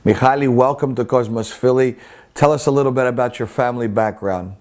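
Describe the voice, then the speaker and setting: A man's voice: medium pitch, brisk, a little mellow. A man interviewing a guest, asking short conversational questions and prompting him along. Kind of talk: interview host.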